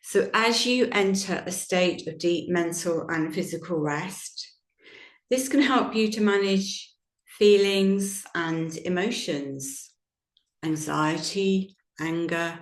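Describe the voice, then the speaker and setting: A woman teaching yoga nidra, guiding a relaxation meditation in a gentle, soothing, unhurried manner with long pauses. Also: fairly low pitch. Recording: roomy, echoing sound.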